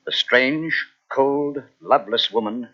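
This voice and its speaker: An actor playing a measured, knowing doctor in an old-time radio mystery, speaking formally and deliberately with a husky voice. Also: male voice, medium pitch, sharp tone. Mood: revealing, deliberate. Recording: muffled old radio cassette transfer.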